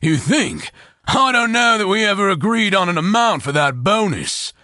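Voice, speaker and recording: A man's voice: high-pitched, very animated, moderate pace, bright, forceful tone, dry recording, studio quality, pitched above the performer's own voice, exaggerated voice. Man doing a hearty mocking mercenary. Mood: amused. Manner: mock-theatrical, teasing.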